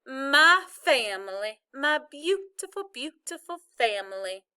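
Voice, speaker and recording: Woman voicing a feisty old Southern grandma, high and bright. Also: very animated, takes it slowly, full character voice. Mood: tearful joy. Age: older. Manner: folksy, emphatic, scolding yet fond.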